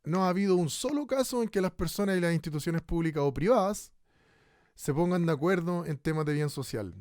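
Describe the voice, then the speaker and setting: A man's voice: a little high, lively intonation, brisk, darkish tone, no room sound, clean studio sound, natural voice. A man playing an earnest, courteous radio host in a dystopian radio play, hesitant and deferential. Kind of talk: radio play as live news talk show.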